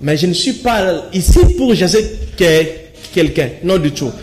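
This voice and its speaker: This young man speaks with a Congolese accent, mixing French and Lingala as a guest on a TV studio set. His voice sits high and he talks in animated bursts, gesturing as he goes and often restarting his sentences. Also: normal speed. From Kinshasa, Congo. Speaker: studio guest, local resident. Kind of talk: studio interview, animated talk. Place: TV studio with screen backdrop.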